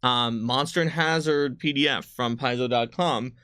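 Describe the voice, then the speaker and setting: A man with a mid-to-low pitched voice talks off the cuff as a game designer on a panel stream. He explains things readily and often jumps in. The sound is dry, with little room in it. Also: moderate pace, pushed and bright, clean tone, no room sound. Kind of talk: unscripted panel chat.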